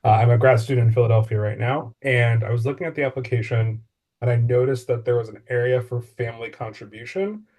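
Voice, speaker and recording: Mid-pitched male grad student asking questions. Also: steady tone, fast talker, a little mellow. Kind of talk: polite, organised questions. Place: virtual meeting on a video call.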